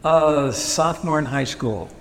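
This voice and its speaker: Gravelly man with Pennsylvania Dutch roots. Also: a little high, animated, unhurried. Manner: hesitant, many fillers and restarts. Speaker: interviewee. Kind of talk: oral history interview. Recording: long reverb with faint noise.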